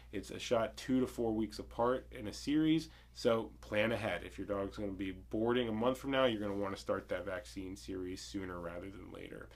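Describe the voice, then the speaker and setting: Congested low-voiced man explaining clearly. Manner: plain, measured explanation, listing points. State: congested, getting over a cold. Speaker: veterinarian. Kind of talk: informational clinic video.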